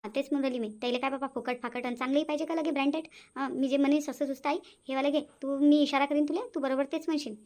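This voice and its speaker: A woman's voice: high voice, steady tone, rapid-fire, darkish tone, close to an everyday voice. A woman voicing a sly, greedy young daughter in a cartoon, with a wheedling way of plotting. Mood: scheming.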